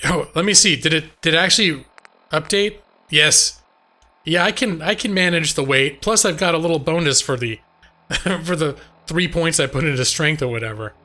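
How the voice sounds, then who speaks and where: A slightly hoarse man streaming a game live, chatting casually and ramblingly to his viewers. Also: a little high, moderate pace, pushed and bright. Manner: rambling, conversational, addresses chat directly. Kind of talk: casual chat with viewers while gaming. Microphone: close microphone on a boom arm.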